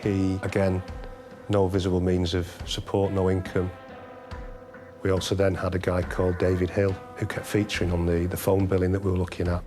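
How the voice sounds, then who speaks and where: Deep, even-toned man. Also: fairly quick, music in the background. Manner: matter-of-fact, colloquial. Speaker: police detective interviewee. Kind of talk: unscripted interview recollection.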